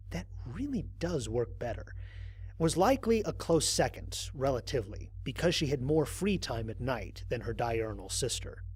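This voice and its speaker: A man's voice: medium pitch, a little mellow, husky. A man playing a human space explorer recording a mission log in an audio drama, speaking conversationally with wry, dry amusement. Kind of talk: first-person audio drama narration as a log entry.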